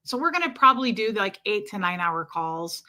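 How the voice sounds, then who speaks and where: Female streamer, bright and forward tone, chatty and warm, lots of filler words. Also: medium pitch, sing-song, a little fast. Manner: chatty and warm toward viewers, many fillers. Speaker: livestream host. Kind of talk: casual livestream chat, answering viewer comments.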